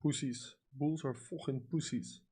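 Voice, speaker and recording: A man's voice: medium pitch, steady tone, a little slow, mellow, breathy edge. A young man with a Dutch accent chatting casually on a livestream, answering comments with lots of "you know" and "like", blunt and sometimes profane. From Netherlands. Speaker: livestream trader.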